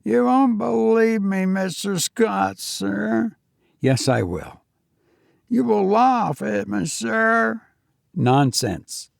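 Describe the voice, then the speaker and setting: A man voicing a nervous, deferential young Cockney servant with rough working-class speech. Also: high-pitched, sing-song, extremely slow, no room sound, studio quality, voice pushed up, heavily acted. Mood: fearful.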